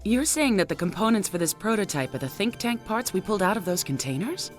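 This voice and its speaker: Woman acting as composed squad leader, assured, medium-pitched, music behind, game cutscene. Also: sing-song, a little fast, close to an everyday voice. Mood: probing. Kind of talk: English dub acting in a video game cutscene.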